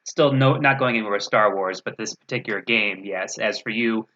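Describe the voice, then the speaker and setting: A man's voice: lowish voice, fairly quick, pushed and bright. A man hosting a casual gaming chat, dropping joking, wry asides. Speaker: host. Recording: dull lo-fi audio.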